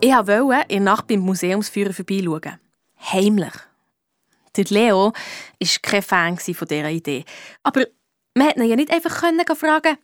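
A woman's voice: medium pitch, no room sound, clean studio sound, close to an everyday voice. A woman playing a young girl detective who narrates her own adventure confidingly in a radio play.

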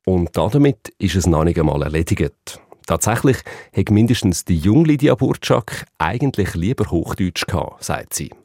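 Swiss german guy narrating a radio feature, really low voice, dry studio sound. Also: lively intonation, moderate pace, hardly any pauses, a little mellow, no room sound. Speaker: radio presenter. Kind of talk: scripted narration.

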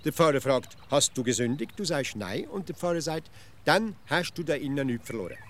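An actor playing an absurdly logical, nagging everyday philosopher in a comic radio play, pedantic and insistent, with a Swiss accent. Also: male voice, a little high, lively intonation, a little fast, fairly bright tone. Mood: smugly explaining.

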